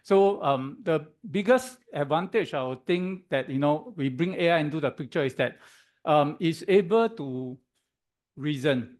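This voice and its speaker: Hoarse man giving a tech talk. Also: on the high side, expressive, a little mellow. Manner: halting, many "um"s, keeps checking in with "okay?". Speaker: guest speaker at a tech meetup. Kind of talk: conference presentation. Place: office meetup room.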